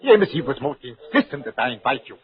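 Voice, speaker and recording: An actor playing a suave, courteous count with a formal, ceremonious manner in 1940s radio style. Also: male voice, mid-range voice, sing-song, fast, natural voice. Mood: courteous, curious. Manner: polished, ceremonious. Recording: tinny 1940s radio recording.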